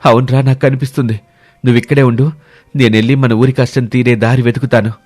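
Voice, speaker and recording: A man's voice: medium pitch, level tone, quick pace, darkish tone, close to an everyday voice. A man voicing an earnest, selfless villager on a quest, rustic and righteous in manner. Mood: awed, resolute. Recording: music bed behind the voice.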